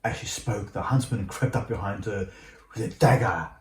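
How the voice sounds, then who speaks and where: Low-voiced man reading a bedtime story. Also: normal speed. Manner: stumbles over words while reading. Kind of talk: storybook read-aloud. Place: indoor room at home. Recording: roomy home recording, faint noise.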